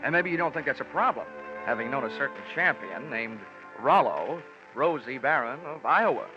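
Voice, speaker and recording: A man's voice: mid-range voice, lively intonation, normal speed, lightly acted. A man acting breezy sardonic private investigator, 1940s radio drama narration, muffled old recording, music behind. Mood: wry. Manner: wisecracking, sardonic. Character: wry, breezy freelance insurance investigator narrating his case.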